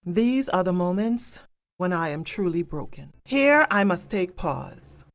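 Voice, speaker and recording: A woman playing a grave, sorrowful narrator in a staged drama, speaking in a deliberate, pointed way. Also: on the low side, lightly acted. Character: grave, sorrowful all-knowing female narrator of abuse drama.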